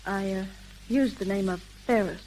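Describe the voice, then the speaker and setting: A woman's voice: mid-range voice, normal speed, warm tone, lightly acted. An actress playing an earnest, resolute young woman who runs a detective agency, in 1930s American radio drama style. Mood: apologetic, earnest. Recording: sizzling, noisy old 1930s radio recording.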